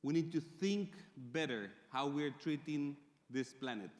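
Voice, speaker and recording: A man from Chile with a Chilean Spanish accent, speaking English as a second language and giving a conference presentation with measured pauses and fillers. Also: a little high, animated, darkish tone. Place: large conference hall. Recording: reverberant conference hall.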